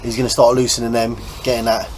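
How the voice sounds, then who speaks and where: A man's voice: medium pitch, monotone, fast, darkish tone, low-quality recording. An English man hosting a boat restoration vlog, chatting casually and explaining the job as he works in an informal, blokey way. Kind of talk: casual hands-on vlog chat. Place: on and around a small boat. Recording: background noise on location.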